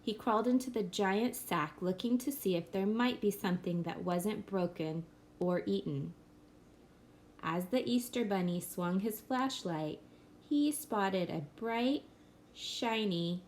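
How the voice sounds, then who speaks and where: A woman reading a children's picture book aloud in a gentle storybook manner. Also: medium pitch, slight background noise.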